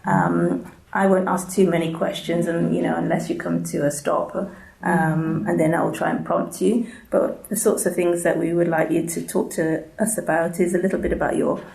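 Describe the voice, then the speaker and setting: A woman conducts an oral history interview in a mellow, fairly low voice with very heavy creak. She politely explains and prompts, pausing as she goes. It is recorded in an echoey room. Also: little pitch movement. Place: indoors at an arts centre.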